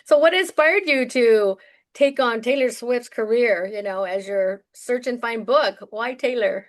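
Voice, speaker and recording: A woman's voice: on the high side, unhurried. Bubbly woman interview host. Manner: enthusiastic, bubbly. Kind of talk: upbeat interview hosting.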